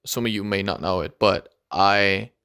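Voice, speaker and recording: A man's voice: low-pitched, bright, forceful tone, clean tone. Earnest young man giving advice. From Argentina. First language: Spanish. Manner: earnest, encouraging, sometimes slow and stressed. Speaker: vlogger. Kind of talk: casual advice talk to camera.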